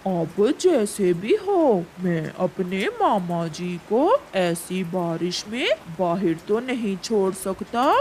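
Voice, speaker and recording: Slow, theatrical male cartoon crow, voiced by a woman. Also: mid-range voice, big pitch swings, a little mellow, a little hiss or hum, rough recording. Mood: worried. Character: male crows, a pleading nephew and his demanding uncle. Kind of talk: Hindi animated fable dialogue.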